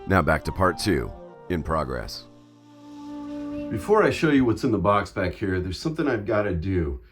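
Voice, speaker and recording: A man's voice: low-pitched, sing-song, moderate pace, over music. A man narrating a scripted art vlog as host, with casual asides and a theatrical, playful, self-interrupting manner.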